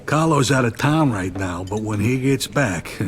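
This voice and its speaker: Man as middle-aged American mob lieutenant, medium pitch, grave, wary, profane, video game drama. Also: expressive, unhurried, lightly acted. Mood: grave, probing.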